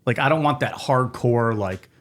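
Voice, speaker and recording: A man's voice: medium pitch, moderate pace, a bit bright. Joking, ranting man from Ohio. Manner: joking, ranting, laughs often. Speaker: podcast host. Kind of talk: casual chat.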